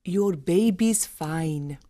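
A woman's voice: fairly low pitch, extremely slow, dark tone. A woman playing a tender, soothing middle-aged mother in an audiobook drama. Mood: tender, relieved.

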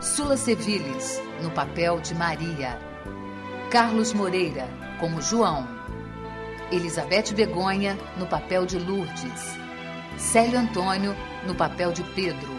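A woman's voice: lowish voice, expressive, slow. A woman with a Brazilian accent reading scripted credits as a radio announcer. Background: music.

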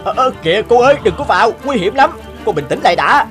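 A man's voice: sits high, expressive, fast, over loud music. Man acting an earnest, remorseful young cartoon husband. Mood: alarmed. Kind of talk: cartoon melodrama dubbing.

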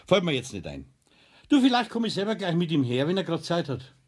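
A man's voice: on the high side, huge pitch swings, fairly quick. An actor playing a fussy, awkward man with a Bavarian accent in a children's radio play, hesitant and evasive. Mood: awkwardly evasive. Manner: hesitant, evasive, often ending in a questioning tag. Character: fussy, awkwardly evasive male friend of craftsman.

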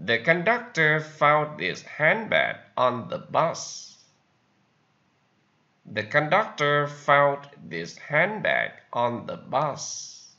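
A Vietnamese-accented man teaching English words, saying each sentence slowly and more than once. His voice is bright and swings up and down in pitch. The recording sounds dull and lo-fi. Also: wildly swooping pitch. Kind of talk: slow vocabulary lesson with repetition.

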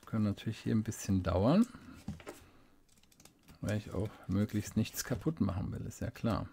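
A man giving a casual unboxing review at a desk, unhurried and thinking aloud with small fillers. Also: on the low side, creaky, mellow, slight background noise. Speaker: fountain pen reviewer. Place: indoors at a desk, hands-only camera. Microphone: studio desk microphone.

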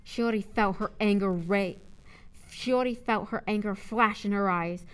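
A woman's voice: medium pitch, measured pace, very noisy. Woman narrator recording takes. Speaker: voice actor, narrator. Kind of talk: scripted audio drama narration with casual studio chat between takes.

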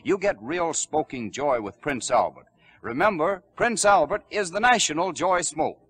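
A male announcer delivers an old radio commercial with very animated intonation. His voice is rather high and husky, on a vintage broadcast recording. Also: moderate pace. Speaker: radio announcer reading a sponsor ad. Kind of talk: 1940s scripted radio commercial.

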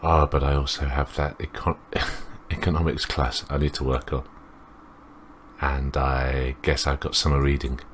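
A man's voice: extremely low pitch, little pitch movement, measured pace, a little hiss or hum. A man reading a visual novel aloud as a let's play host, rambling and interrupting himself with commentary.

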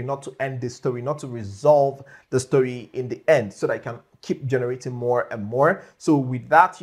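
A man's voice: a little high, husky. A man in his thirties hosting a conversational step-by-step tutorial, emphatic, repeating key phrases and often checking in with the listener. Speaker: tutorial host. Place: small home office, indoors.

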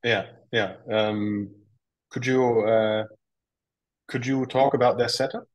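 A man's voice: medium pitch, steady tone, takes it slowly, muffled recording. A man hosting and moderating a webinar, steadily asking questions and reading out audience questions.

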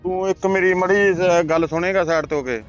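A man's voice: high-pitched, steady tone, fast talker. A man with a Malwai Punjabi accent chatting casually on a recorded phone call. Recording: muffled lo-fi phone call, faint music.

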